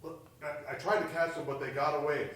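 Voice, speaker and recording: A man's voice: fairly high pitch, steady tone, brisk, fairly bright tone, lightly acted. An actor playing a flustered, defensive man in an audio drama, urgent and stumbling over his words. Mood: urgent, concerned. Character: flustered, defensive man friend explaining himself. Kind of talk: naturalistic audio drama dialogue. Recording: echoey room, distant from mic.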